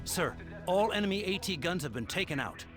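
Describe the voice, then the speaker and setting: An alert junior soldier in a video game. His voice is high as he dutifully reports to his commander. Music plays clearly behind him. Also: animated, brisk. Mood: brisk, dutiful. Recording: music and battle sounds behind.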